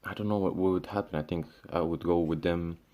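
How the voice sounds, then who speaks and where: A young man from Syria rants to his camera with a very low voice. He talks casually and loosely, tripping over restarts and fillers, dropping swear words and "bro" as he goes. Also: fairly even intonation, brisk, dark tone. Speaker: vlogger. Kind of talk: casual ranting monologue.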